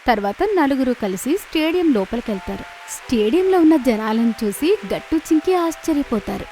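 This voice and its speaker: A woman narrator with a fairly high voice tells a children's story. It is scripted cartoon narration, with music playing lightly underneath. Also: animated, moderate pace, warm tone, poor audio.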